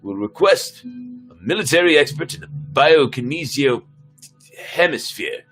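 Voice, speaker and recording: A man's voice: on the high side, animated, measured pace, a bit bright, faint music behind. A man playing a cocky, bossy, sarcastic spaceship captain in a sci-fi story reading, brash and commanding. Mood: flippant. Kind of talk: dramatic reading of sci-fi script.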